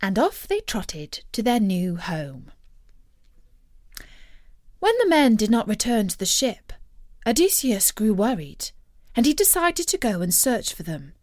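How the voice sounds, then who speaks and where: Woman reading a story, slow and really expressive, dry close sound. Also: mid-range voice, no room sound. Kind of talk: scripted storybook narration.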